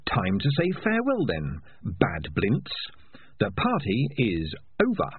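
A man's voice: on the low side, wildly swooping pitch, slow pace, breathy edge, phone-quality sound, heavily acted. A man voicing a cynical, self-serving talking cat who wheedles and justifies himself. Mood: wheedling.

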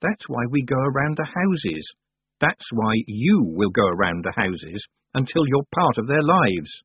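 A man voicing a calm, firm and sensible young girl witch, speaking reproachfully. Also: medium pitch, unhurried, slightly rough, no room sound. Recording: dry, tinny phone-like sound.

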